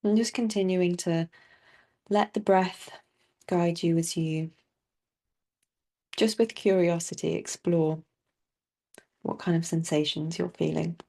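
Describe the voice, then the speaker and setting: A woman leading a guided body scan meditation as a teacher, speaking softly and soothingly with long, unhurried pauses. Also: lowish voice, fairly even intonation, vocal fry.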